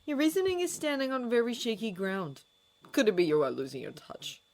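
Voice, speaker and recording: A bitter, mocking male professor with a slow drawl, as a woman reading a story puts him on. He pitches his words a little high and lets them roll out with spite. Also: big pitch swings.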